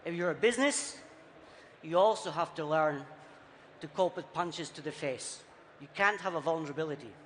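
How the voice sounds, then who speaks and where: A man with a Scottish accent softened by years abroad, giving a punchy, rhetorical conference talk in short, repeated phrases. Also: on the high side, moderate pace. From Scotland. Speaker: conference speaker. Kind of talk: keynote talk on stage. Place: large conference hall. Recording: reverberant hall, faint background noise.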